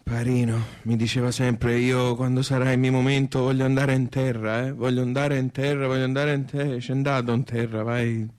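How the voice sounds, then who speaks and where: An actor playing a mournful, resigned provincial man with a Tuscan accent, halting and repeating himself in naturalistic stage dialogue. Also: medium pitch, flat delivery, a little mellow, smooth, lightly acted. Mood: wistful, rambling. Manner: halting, repetitive, trailing off.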